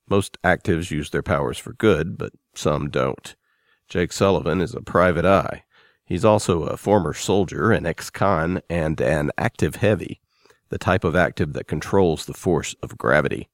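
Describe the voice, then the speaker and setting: A man's voice: low voice, no room sound, clean studio sound. A man presenting as a podcast host, reading a scripted introduction. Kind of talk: scripted narration.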